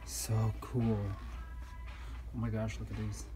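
A man's voice: fairly low pitch, monotone, slow pace, music in the background. A man in his thirties filming a casual shopping vlog on a phone held at arm's length, gushing over decor in big stores and outside in a parking lot. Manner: chatty, gushing, enthusiastic. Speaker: home decor vlogger.

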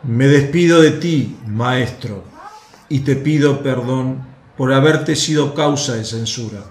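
A man playing a devoted Roman soldier in a radio play. He speaks slowly and earnestly in a mid-pitched voice, and the room adds a clear echo. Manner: earnest and respectful. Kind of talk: radio drama acting. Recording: echoey room.

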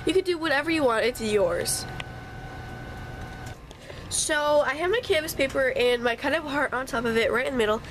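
A woman's voice: high voice, animated, moderate pace, long pauses, fairly bright tone. A woman with a congested, stuffy nose chatting casually through a home craft tutorial, with apologetic asides as she works. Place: home craft table, indoors. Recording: faint sump pump hum behind.

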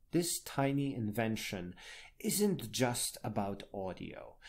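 A man narrates a scripted blog piece at an unhurried pace. His mid-pitched voice swings widely up and down from phrase to phrase. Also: a little mellow.

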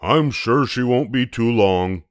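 A man's voice: mid-range voice, takes it slowly, dry recording, studio quality, natural voice. A man voicing a kindly, encouraging farm animal in a storybook, exclaiming and reassuring. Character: kindly, encouraging farm animals: cow, horse, duck, sheep.

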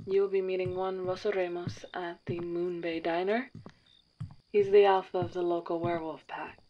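A woman's voice: on the low side, little pitch movement, moderate pace, natural voice. A woman playing a formal, precise matchmaker at a dating agency in an audio drama. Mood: briefing. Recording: dull lo-fi sound, faint hiss.

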